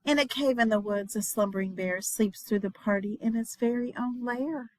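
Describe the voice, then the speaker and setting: A woman reading a children's picture book aloud in a dramatic, expressive way, dropping into hushed refrains. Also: fairly high pitch, normal speed, no room sound. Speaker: storybook reader, teacher.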